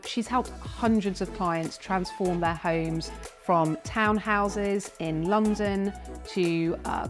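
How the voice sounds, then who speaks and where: A woman hosting a property podcast, warmly effusive with hesitant fillers, recorded in a client's house. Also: fairly low pitch. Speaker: podcast host, buying agent. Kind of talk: podcast interview.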